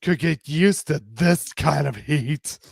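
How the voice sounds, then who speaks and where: A man's voice: a little high, takes it slowly, gravelly, breathy edge, no room sound, studio quality, voice pushed up, heavily acted. A man voicing a bold, flirtatious woman in a romance drama, with a purring, sultry, teasing delivery. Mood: playful coaxing.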